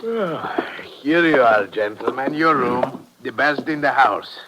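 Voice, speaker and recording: An actor playing a fawning, unctuous European innkeeper with halting speech in a 1950s radio drama. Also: male voice, medium pitch, expressive, heavy background noise. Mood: obliging. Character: fawning male European innkeeper showing off his inn.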